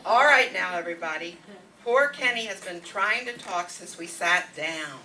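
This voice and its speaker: A woman's voice: on the low side, lively intonation, normal speed, sharp tone. A woman playing a practical, soothing wife with a coaxing manner in a radio comedy play. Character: practical, soothing wife managing her household. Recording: noisy, distant stage microphone.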